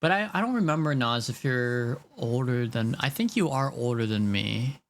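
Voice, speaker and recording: Gravelly-voiced young man chatting on stream. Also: mid-range voice, animated, normal speed, a little hiss or hum. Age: 20s. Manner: rambling, chatty, laughs often, talks to chat. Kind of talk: casual live-stream chat while drawing.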